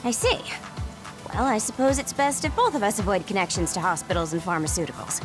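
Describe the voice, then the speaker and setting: A woman's voice: mid-range voice, big pitch swings, fairly quick, music in the background, close to an everyday voice. Woman voicing a languid, lilting lady captain. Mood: lazy, teasing. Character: laid-back, lazy veteran female captain, deceptively young-looking. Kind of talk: English anime game voice acting.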